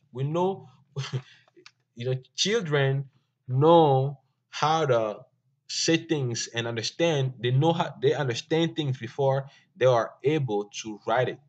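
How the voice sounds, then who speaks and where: Slow, halting man teaching a language. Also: mid-range voice. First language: Ewe. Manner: slow, halting, many fillers and restarts. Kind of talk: informal language lesson. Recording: dull lo-fi recording.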